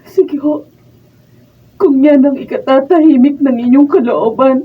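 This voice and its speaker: A woman's voice: high-pitched, a little slow. A Filipino woman acting a devoted, heartbroken, submissive young wife in a radio drama. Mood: tearful resignation. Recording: muffled, phone-like, far from mic.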